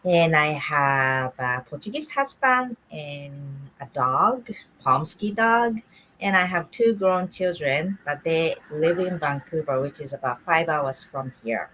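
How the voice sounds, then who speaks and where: A middle-aged woman from Japan leads a relaxed online chat with a low voice that still rises and falls a great deal. She talks in a friendly, hesitant way, pausing on fillers between thoughts. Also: slight background noise, poor audio. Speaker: host. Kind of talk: casual group chat.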